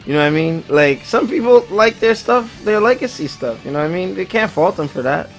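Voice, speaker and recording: Slangy male podcast host, slightly high voice. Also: animated, fairly quick, soft background music. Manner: slangy, conversational. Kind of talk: casual gaming panel chat.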